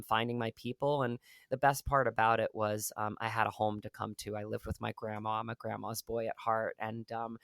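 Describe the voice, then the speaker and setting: A man's voice: medium pitch, gravelly, fairly bright tone, clear, resonant tone, no echo. A man speaking as an actor guest in an interview, telling personal stories in an earnest, reflective way with frequent verbal hedging. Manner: earnest, hesitant, many "like" and "you know".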